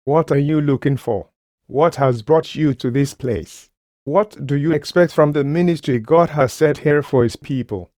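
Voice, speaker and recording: A man's voice: on the high side, a little slow, darkish tone, dry recording, studio quality. A man preaching a sermon, speaking emphatically, repeating key phrases and putting rhetorical questions to his listeners.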